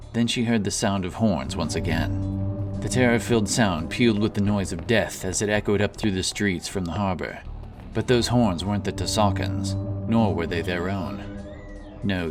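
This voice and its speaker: Really deep guy narrating a fantasy story, solemn and dramatic, bit of music underneath.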